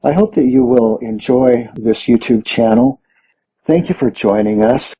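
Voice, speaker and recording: A man's voice: medium pitch, measured pace, warm tone, slightly rough. A man with a Western American accent giving a scripted introduction as a channel host. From Western United States. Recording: dull, lo-fi recording.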